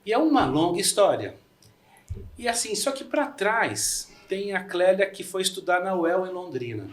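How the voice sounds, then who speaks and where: A man's voice: sits high, sing-song, normal speed. A middle-aged man with a Brazilian Portuguese accent hosting a podcast interview, effusive and friendly, with frequent restarts. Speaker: podcast host.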